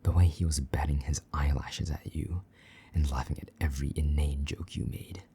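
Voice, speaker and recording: A man playing a possessive, jealous vampire knight in an intimate audio roleplay, haughty and condescending, with a husky voice that slips toward a whisper. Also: very deep voice, steady tone, mellow, slight background noise. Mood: jealous, contemptuous. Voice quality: husky, breathy, half-whispered at times. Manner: condescending, slow-burning menace, mock-sweet endearments.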